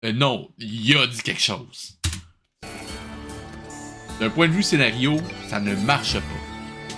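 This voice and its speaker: A man's voice: mid-range voice, slow, over music. A man with a Québécois accent hosting a reaction show, giving casual, incredulous and colloquial running commentary.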